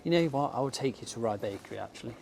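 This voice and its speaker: Man, casual walking vlog, chatty and enthusiastic, slightly hoarse, handheld camera outdoors, faint street ambience. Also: medium pitch, lively intonation, racing, darkish tone, slight background noise. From England. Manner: chatty and enthusiastic, with frequent ums. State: slightly losing his voice. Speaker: bookshop owner and vlogger. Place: outdoors on a sunny sloping shopping street. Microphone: handheld camera filming himself while walking.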